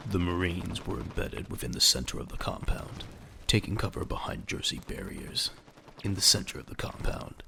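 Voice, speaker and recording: A man's voice: sits low, vocal fry, noisy background. A man narrating a scripted audio drama in a dramatic storytelling style.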